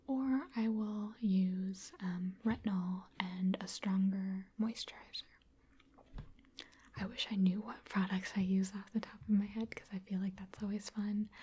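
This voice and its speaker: A woman from Wisconsin whispers her way through viewer questions in a soft, unvoiced ASMR hush. Her tone is mellow and very breathy, and she chats in an easy, self-deprecating way. Nothing in her voice is pushed or sharp. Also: mid-range voice. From Wisconsin, USA. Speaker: ASMR creator.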